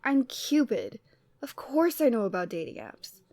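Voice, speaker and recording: A woman playing a Cupid who is the listener's lovestruck best friend, in a conversational, expressive roleplay audio drama. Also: fairly high pitch, measured pace, no echo, studio quality, heavily acted. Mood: teasing, chatty. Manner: conversational, teasing, emotionally expressive.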